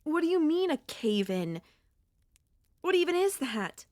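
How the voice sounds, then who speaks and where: A woman voicing a gentle, wistful and anxious young centipede monster girl in an ASMR audio roleplay, speaking softly and hesitantly in a conversational way. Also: sits high, big pitch swings, measured pace, no echo, clean studio sound. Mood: alarmed, suspicious.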